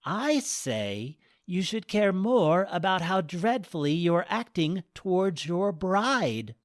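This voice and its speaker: Tipsy old goddess lady, high squeaky lilt, teasing and blunt, narrator doing the voice. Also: very animated, slow, dry recording, studio quality. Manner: scolding, wry.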